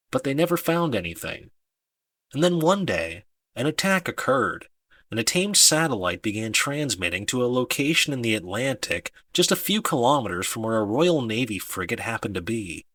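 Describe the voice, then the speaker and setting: A man reading an audiobook as dramatic scripted narration, with deliberate emphasis on key words. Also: mid-range voice, fairly bright tone, dry recording.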